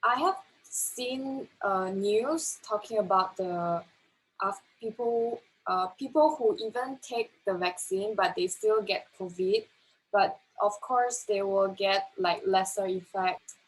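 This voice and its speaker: Slow-speaking young woman. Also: mid-range voice, rough recording. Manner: hesitant, many fillers. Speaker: interviewee. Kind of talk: casual interview answers.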